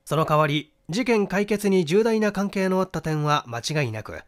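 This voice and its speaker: A man narrating a mystery novel in a theatrical, deliberate storytelling manner. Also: high-pitched, animated. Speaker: audiobook narrator and stage actor.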